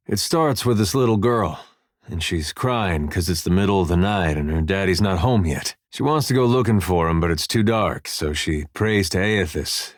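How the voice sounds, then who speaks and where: An actor playing an easygoing, loyal farmer turned soldier in a video game, with laid-back folksy phrasing and dry humour. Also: male voice, sits low, dry recording, close to an everyday voice. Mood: thoughtful storytelling. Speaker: video game companion character. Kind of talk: game dialogue and battle barks.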